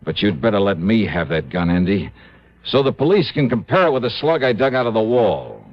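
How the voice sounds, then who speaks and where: Hard-boiled detective, American, pressing, sardonic, very animated delivery, old radio drama, dull lo-fi sound. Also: male voice, on the low side, a little fast, dry recording. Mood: hard, menacing. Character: hard-boiled, sharp-witted male insurance investigator, pressing and sardonic. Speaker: lead actor and narrator of a radio detective series. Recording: muffled vintage radio recording.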